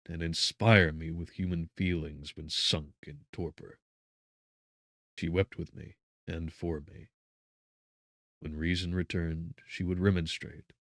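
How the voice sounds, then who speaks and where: A man narrating a gothic novel as an audiobook, solemn and theatrical, with measured phrasing and emphatic swells. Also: very deep voice, no echo, clean studio sound.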